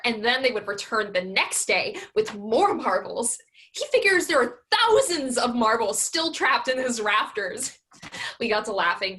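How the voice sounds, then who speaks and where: A woman's voice: fairly high pitch, a bit bright. A woman playing a frustrated, resentful grown daughter in a staged reading of a play.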